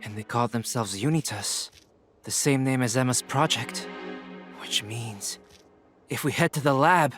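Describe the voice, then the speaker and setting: An American man playing an earnest, inquisitive teenage boy detective in a video game, talking in a measured, questioning way. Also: mid-range voice, over music, natural voice. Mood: intrigued.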